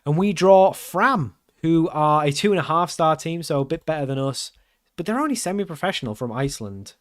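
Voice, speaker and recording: A man commenting casually over his football management game, getting loud and excited at the big moments. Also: fairly high pitch, a little fast. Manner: excitable, shouts at big chances and goals. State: losing his voice. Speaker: game streamer.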